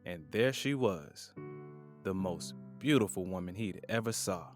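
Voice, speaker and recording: A man narrating an audio drama in a measured, solemn way. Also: low-pitched, lively intonation, soft background music.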